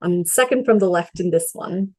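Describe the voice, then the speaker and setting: Low-voiced woman presenting over a call. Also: moderate pace, warm tone, no room sound. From Sri Lanka. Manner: reads a prepared presentation steadily. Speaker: mental health advocate speaker. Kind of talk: prepared talk. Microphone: video call.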